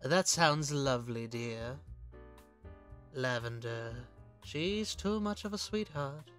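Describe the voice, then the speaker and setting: A man voicing a courteous, genteel gentleman hunter with a formal, old-fashioned charm in a comic dub. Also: mid-range voice, lively intonation, slow pace, faint music behind. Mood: warm, affectionate. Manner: polite, formal, endearments.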